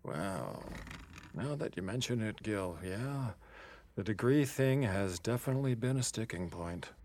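An actor playing a slick, two-faced, breezily dismissive office boss in a comedic audio drama, full of false encouragement. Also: male voice, lowish voice, animated, a little slow, darkish tone. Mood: smarmy dismissal.

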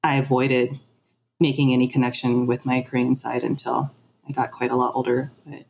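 A woman's voice: extremely low pitch, little pitch movement, moderate pace, gravelly, darkish tone, a little hiss or hum, poor audio. A woman speaking as a podcast guest, candid and self-reflective, acting out remarks she quotes as she talks. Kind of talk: candid personal conversation.

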